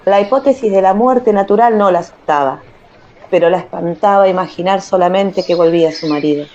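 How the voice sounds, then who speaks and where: Mellow woman narrator, slightly muffled. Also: fairly low pitch, a little fast, some chatter behind, a little hiss or hum. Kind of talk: dramatized literary narration for radio. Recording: slightly muffled, music underneath.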